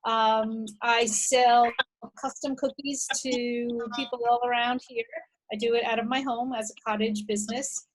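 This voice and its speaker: A woman's voice: a little high, level tone, measured pace, poor audio. A woman from New York, a teacher, introducing herself enthusiastically on a video call. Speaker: teacher and part-time cookie seller. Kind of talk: casual video-call chat.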